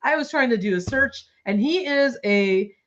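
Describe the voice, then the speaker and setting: Hoarse lady cohost, giggly and excited, kinda dull lo-fi sound. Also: fairly high pitch, normal speed. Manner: giggly and enthusiastic. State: hoarse, losing her voice. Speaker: co-host of a toy news show. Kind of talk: casual chat.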